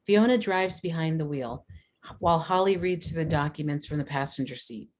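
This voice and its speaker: A woman's voice: deep voice, normal speed, darkish tone. A woman reading scripted stage directions as narrator at a screenplay table read.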